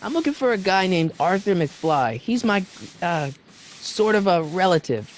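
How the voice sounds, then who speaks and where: A man's voice: high-pitched, big pitch swings, unhurried, slight background noise. An actor playing a polite, inquisitive young man in a video game, talking casually and a little hesitantly as he probes. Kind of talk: video game dialogue acting.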